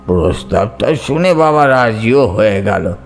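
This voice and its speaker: Slow-paced male story narrator. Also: fairly low pitch, animated, gravelly. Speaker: audiobook narrator. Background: soft background music.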